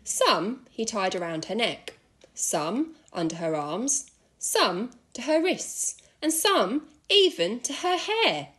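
A woman reading a children's story aloud in an expressive narrating style. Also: fairly high pitch, takes it slowly. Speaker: school staff member reading aloud.

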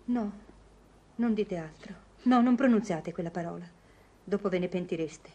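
A woman's voice: mid-range voice, normal speed, dark tone, dull audio, close to an everyday voice. An actress playing a worldly, kind-hearted, teasing lady in a theatrical vintage Italian radio comedy. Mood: gentle, regretful. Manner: theatrical, playful.

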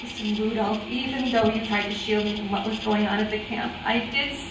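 Middle-aged woman acting upset pleading wife in a play reading, halting delivery, far from mic, a bit of noise. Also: medium pitch, steady tone, close to an everyday voice. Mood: accusing, distressed. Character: distraught, pleading wife of an accused war criminal. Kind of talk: staged reading of a drama. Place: indoor room, table before a plain wall. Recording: echoey room, distant microphone, faint noise.

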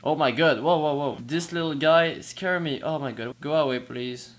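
Excitable young non-native gamer guy. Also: a little high, moderate pace, a little hiss or hum. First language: not English. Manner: excitable, hesitant, frequent exclamations. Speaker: gaming channel host. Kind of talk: casual gameplay commentary.

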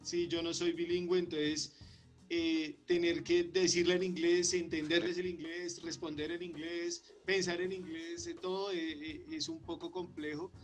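A man's voice: on the high side, little pitch movement, unhurried, soft background music. A man presenting his work at a conference and answering questions over a video call, often hesitating and repeating words. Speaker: conference speaker. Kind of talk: conference talk and Q&A.

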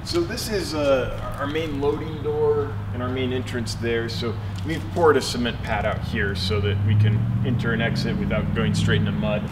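A man in his forties talks through a construction walkthrough in a relaxed, chatty way, his voice sitting at a middle pitch. He's recorded outdoors and in an open, unfinished building. Steady ambient noise and passing cars sit clearly behind him. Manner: chatty, relaxed, explaining as he walks. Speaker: fish store owner and vlogger. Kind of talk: casual walkthrough vlog. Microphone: wireless mic on a handheld camera shoot. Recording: noticeable outdoor background noise.

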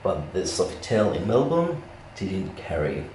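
Very low-voiced man, halting room tour. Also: very animated, background noise. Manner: halting, repeats words and trails off. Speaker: travel blogger reviewing a hotel room. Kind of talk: casual unscripted walk-through narration. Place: indoors, moving around a hotel room. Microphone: handheld camera, operator behind it.

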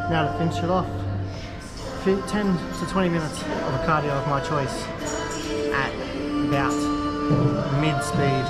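A man, casually narrating his gym workout in a self-deprecating, upbeat way on an action camera. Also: on the high side. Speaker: vlogger. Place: gym, indoors, and outdoors by a car. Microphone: handheld action camera. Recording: music playing behind. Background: background music.

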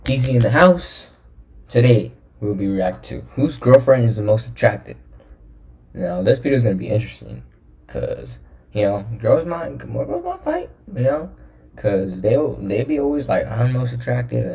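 A man's voice: mid-range voice, very animated, normal speed, gravelly, warm tone. A young man hosting a reaction video from a small room, talking casually with slang and jokes. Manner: laid-back, joking, slangy.

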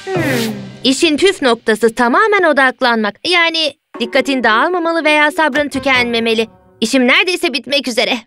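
A woman voicing an eager, helpful young girl in a children's cartoon dub, youthful and expressive. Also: high voice, moderate pace. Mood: focused. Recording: music behind the voice.

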